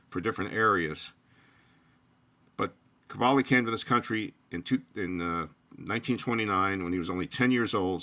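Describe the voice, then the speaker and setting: An older man speaks in a low but bright, forceful voice. He talks alone, rambling from point to point with restarts and pauses. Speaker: true crime researcher. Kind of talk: solo spoken monologue.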